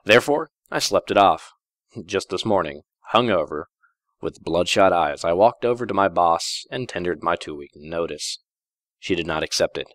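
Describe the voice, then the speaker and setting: A man's voice: deep voice, fairly bright tone, no room sound, studio quality. A man narrating a first-person story in a wry, theatrical way. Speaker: fan fiction reader.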